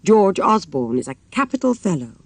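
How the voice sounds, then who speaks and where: Casting: woman to play a blustering, self-important young gentleman, plump and vain, lively intonation, audiobook. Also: mid-range voice, moderate pace, no room sound. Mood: self-satisfied. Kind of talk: audiobook character voice.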